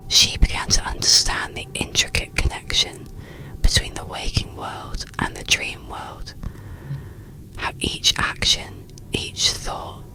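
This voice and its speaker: A narrator whispers a bedtime story in a slow, hushed ASMR style. The voice is breathy and mostly unvoiced, with heavy crackling fry underneath. The delivery is soothing and unhurried. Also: very deep voice.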